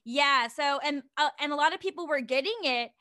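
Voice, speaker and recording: A woman's voice: sits high, brisk, pushed and bright. A woman hosting a podcast in casual chat, chatty and giggly, with lots of "like" fillers. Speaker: podcast host.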